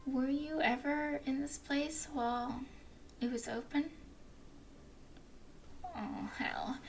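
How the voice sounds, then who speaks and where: A woman voicing a flirty, playful female werewolf guide in an ASMR roleplay, speaking in soft, teasing whispers. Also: sits high, fairly even intonation, slow, a little hiss or hum, rough recording.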